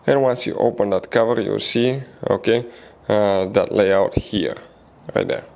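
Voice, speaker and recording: A man's voice: fairly low pitch, unhurried, vocal fry, darkish tone. A man hosting a car repair tutorial, giving step-by-step how-to narration and often addressing viewers as guys. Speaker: car repair video host.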